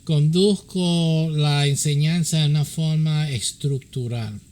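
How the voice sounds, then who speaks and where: Man slowly speaking halting Spanish. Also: fairly high pitch, a little mellow. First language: English. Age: middle-aged. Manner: halting Spanish with many fillers; switches between English and Spanish. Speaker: pastor and counselor to pastors. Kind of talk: informal teaching talk.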